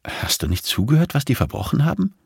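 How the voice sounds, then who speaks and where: A hard, hate-filled woman out for revenge in an audiobook thriller, acted by a male narrator. The pitch is lifted well up, and the delivery is cutting, bitter and insistent. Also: very animated, quick pace, creaky, husky, dry recording, clean studio sound, exaggerated voice. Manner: insistent, cutting, sharply emphatic.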